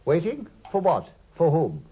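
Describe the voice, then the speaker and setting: An earnest, loyal doctor in an old radio play, quick to exclaim and eager to follow along. His pitch swings through a very wide range. The husky voice comes through a dull, lo-fi broadcast recording. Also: wildly swooping pitch, exaggerated voice. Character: earnest loyal male doctor, the detective's companion. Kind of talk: 1950s radio drama acting and narration.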